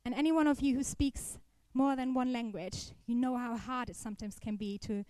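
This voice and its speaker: A woman's voice: a little high, steady tone, fairly quick, warm tone, dry recording. A young woman giving a careful, explanatory sermon to a church congregation, occasionally restarting her words. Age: young adult. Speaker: student speaker at a church service.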